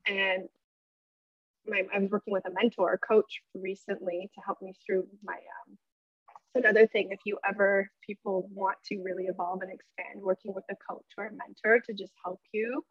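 Bubbly, energetic woman guest. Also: mid-range voice, moderate pace, no echo, lo-fi sound. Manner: bubbly, energetic, many "like" fillers. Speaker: podcast guest, workshop leader. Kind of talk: casual spiritual chat.

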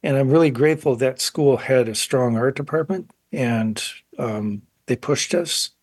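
A man in his sixties talking reflectively in an interview, thoughtful and halting as he searches for words. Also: mid-range voice, a little mellow, breathy edge, no room sound. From grew up in South Korea as child of missionaries. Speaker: guest, painter.

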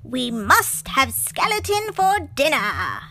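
A woman voicing a bossy big witch in a storybook reading, with a booming manner. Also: big pitch swings, slow, sharp tone, faint music behind, heavily acted. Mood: pleased.

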